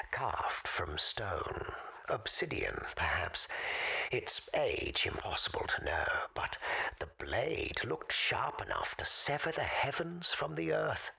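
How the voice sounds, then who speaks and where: A woman playing a wistful, erudite male caller in a radio drama, speaking formally and with measured eloquence in a husky, breathy voice. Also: extremely low pitch, sharp tone, heavily acted. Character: forgotten, wistful, erudite man calling a radio show. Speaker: recurring caller on a radio show. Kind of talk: audio drama monologue, storytelling.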